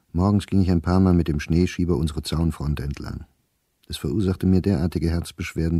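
An actor playing a weary, gloomy middle-aged diarist, reading in a measured, sober way for a radio drama. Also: male voice, deep voice, mellow, slightly rough, no room sound, studio quality.

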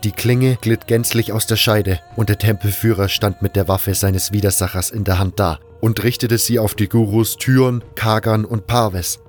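A man's voice: fairly low pitch, steady tone, moderate pace, few pauses, faint music behind. A man reading scripted first-person narration for an audio drama.

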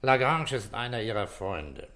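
An actor playing a calm, persistent police inspector in a German radio play, questioning tersely and insistently. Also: male voice, mid-range voice, a little slow. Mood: matter-of-fact, probing.

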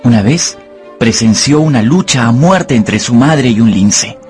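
Male storyteller for radio drama, medium pitch, scripted narration, music bed. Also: expressive, unhurried, darkish tone. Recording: music under the voice.

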